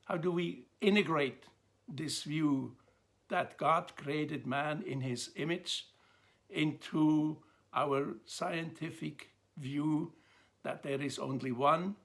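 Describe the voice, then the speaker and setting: An older man with a Swiss accent speaks very slowly and deliberately, breaking his thoughts into short pauses. His voice sits a little high for a man and carries a gravelly rasp. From Switzerland. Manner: measured, pausing between phrases. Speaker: theologian presenting a talk. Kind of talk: slow, deliberate lecture to camera.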